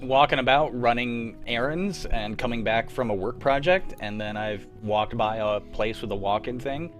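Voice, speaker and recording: A man's voice: medium pitch, moderate pace, over music. A man telling rambling stories full of fillers in a casual group chat on a livestream. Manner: rambling, filler-heavy storytelling. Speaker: radio drama troupe member leading the chat.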